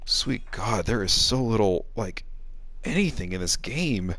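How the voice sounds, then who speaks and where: A man with a lowish voice chats casually as he streams a game. His pitch swings around a lot, and his commentary is sardonic, with exasperated asides. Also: lively intonation, unhurried, a bit bright, no echo. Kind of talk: casual live gaming commentary.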